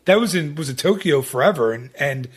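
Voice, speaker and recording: A man's voice: a little high, animated, brisk. A man hosting a podcast in casual chat, talking in a chatty, rambling way with many restarts. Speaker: podcast host.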